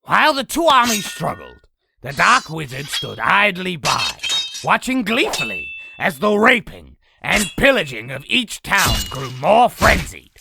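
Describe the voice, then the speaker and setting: A man playing a sinister, boastful old demon in a comic dub, telling tales in a raspy, gravelly, theatrical voice. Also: sits high, huge pitch swings, measured pace, noisy background, full character voice. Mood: ominous storytelling. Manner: theatrical, grandiose storytelling. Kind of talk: comic dub villain acting.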